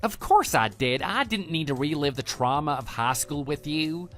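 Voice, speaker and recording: A man's voice: a little high, animated, moderate pace, a bit bright. A man voicing a cocky, immature love rival with a brash, pushy manner in a scripted online radio drama. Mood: agitated, baffled. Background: background music.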